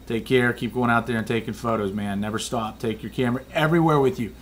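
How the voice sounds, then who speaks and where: A man casually explaining night photography in a flat, monotone way, rambling with many fillers and restarts. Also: medium pitch, fast, a little hiss or hum. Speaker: photography vlogger.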